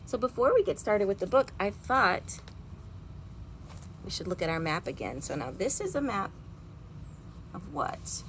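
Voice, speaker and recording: A woman's voice: medium pitch, sing-song, a little slow, long pauses, a bit bright. A woman hosting a storytime for young children, chatting expressively and putting simple questions to her listeners. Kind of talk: read-aloud and chat to young children. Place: outdoors at home. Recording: outdoor background noise.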